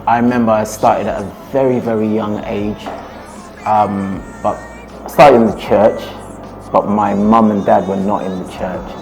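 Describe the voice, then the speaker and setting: A man with a low voice explains his songwriting at an easy pace. He often slows right down on words he wants to stress. Soft music plays behind him. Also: little pitch movement. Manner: measured, explanatory, slows down for emphasis. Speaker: musician, one half of a duo. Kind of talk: relaxed interview talk.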